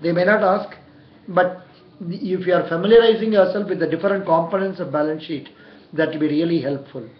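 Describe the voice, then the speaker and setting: A man's voice: high voice, brisk. A man in his sixties with an Indian accent, interviewing and coaching a candidate with frequent short words of encouragement. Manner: encouraging, repeats short approvals. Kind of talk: mock job interview over the telephone. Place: small indoor room. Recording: dull, echoey room recording.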